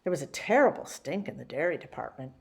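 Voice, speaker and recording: A woman reading a children's picture book as a story-time host, with theatrical, deliberate emphasis. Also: low-pitched, sing-song, a little mellow. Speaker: library story-time reader.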